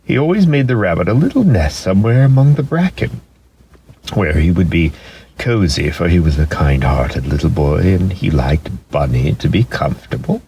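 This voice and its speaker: A man narrating a children's story dramatically, expressive and lingering on key words for emphasis. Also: deep voice, normal speed, a little hiss or hum.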